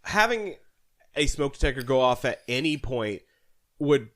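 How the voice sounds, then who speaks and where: A man's voice: medium pitch, big pitch swings, unhurried. A man co-hosting a podcast in casual, conversational chat. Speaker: podcast co-host.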